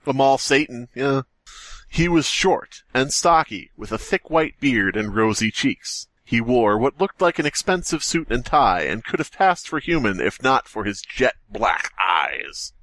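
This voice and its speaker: Man, animated delivery, reading a story aloud, joking asides, close dry sound. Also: medium pitch, unhurried, dry recording. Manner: jokey, breaks off reading to riff. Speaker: podcast co-host. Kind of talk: reading horror fiction aloud with joking banter.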